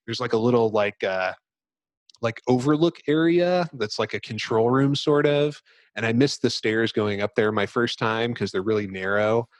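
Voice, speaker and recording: Male podcast host, low voice, dry close sound, rambling casual explanations of video games. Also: no echo. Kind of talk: casual video game discussion.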